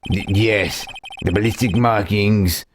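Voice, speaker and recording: A man's voice: fairly low pitch, fairly even intonation, background noise. Man acting a nervous, stammering police detective. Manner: stammering, halting. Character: nervous, apologetic, dutiful male police detective. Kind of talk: courtroom drama game voice acting.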